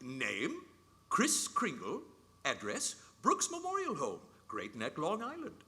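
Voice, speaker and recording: Jolly, animated old Santa Claus. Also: male voice, a little high, slow pace, exaggerated voice. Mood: amused, assured. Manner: chuckling, courtly, twinkling. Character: kindly, jolly old man who insists he is Santa. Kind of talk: live radio play acting.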